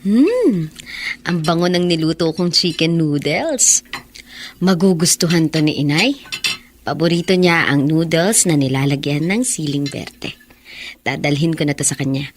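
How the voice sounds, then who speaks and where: A woman's voice: deep voice, lively intonation, unhurried, slight background noise. A woman playing a devoted, tender young daughter with emotional, pleading delivery in a Filipino radio drama. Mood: cheerful, tender.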